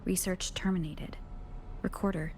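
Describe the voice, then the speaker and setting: Low-voiced, composed actress as analytical young woman. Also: fairly even intonation, unhurried, darkish tone, a little hiss or hum, natural voice. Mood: clinical, detached. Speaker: video game voice actor. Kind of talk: scripted game dialogue and log narration.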